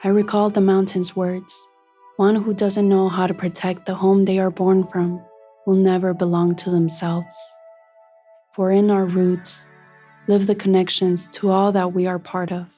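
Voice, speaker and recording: A woman voicing the spirit of an elder corn plant, telling her story in slow, lyrical storybook narration. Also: mid-range voice, little pitch movement, mellow, soft background music, lightly acted. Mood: tender.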